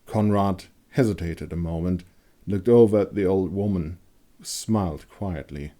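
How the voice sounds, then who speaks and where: A man giving a dramatic scripted narration of a dark fantasy story. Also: low-pitched, unhurried. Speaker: author and narrator.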